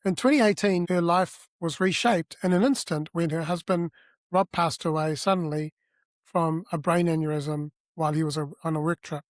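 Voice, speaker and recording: A man hosting a podcast, reading a guest introduction and asking questions in an earnest, slightly halting way. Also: a little high, steady tone, no room sound, clean studio sound. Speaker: podcast host. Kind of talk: scripted introduction then interview questions.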